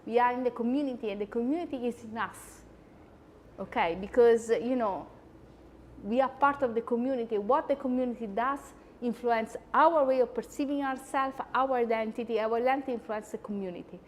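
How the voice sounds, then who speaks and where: A woman with an Italian accent, hosting a talk with chatty, animated asides. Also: fairly high pitch, a little fast, big-room sound, slight background noise. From Italy. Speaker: host. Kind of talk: lecture-style talk.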